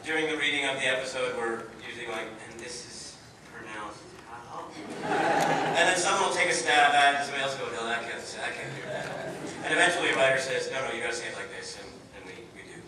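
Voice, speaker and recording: A man's voice: medium pitch, fast, a crowd in the background. A man actor joking on a convention panel and acting out little quotes. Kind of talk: casual panel talk. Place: large convention hall, audience. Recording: echoey hall from far off, noisy.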